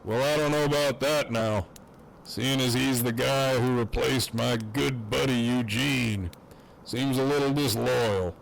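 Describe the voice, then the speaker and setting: A man's voice: mid-range voice, a little slow, vocal fry, a little hiss or hum, rough recording. A man playing a cocky, crude prisoner who teases in wry, swaggering banter in an audio drama. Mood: dry, teasing. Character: cocky, crude, teasing imprisoned man on a walkie-talkie. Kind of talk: fan audio drama acting.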